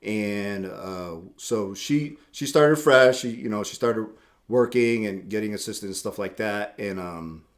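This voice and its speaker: A man's voice: fairly low pitch, unhurried. A middle-aged man from Puerto Rico, a tattoo shop owner telling his story in casual interview chat, easygoing and rambling with repeated words.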